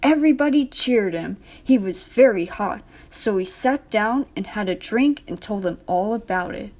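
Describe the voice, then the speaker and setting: A woman's voice: medium pitch, lively intonation, normal speed, a little mellow. A woman narrating a scripted children's storybook.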